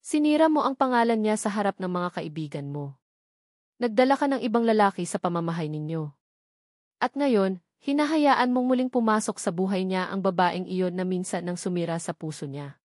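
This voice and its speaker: A woman's voice: medium pitch, lively intonation, moderate pace, a little mellow, dry recording, studio quality, close to an everyday voice. A woman voicing a bitter, angry elderly mother who reproaches in defense of her son, in an audio drama. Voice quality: hard, trembling voice. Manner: accusing, reproachful.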